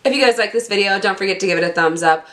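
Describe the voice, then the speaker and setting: A young woman with a cold-roughened, hoarse voice, chatting casually as she unboxes beauty products and stumbles over product names. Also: mid-range voice, very fast, fairly bright tone. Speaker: beauty vlogger.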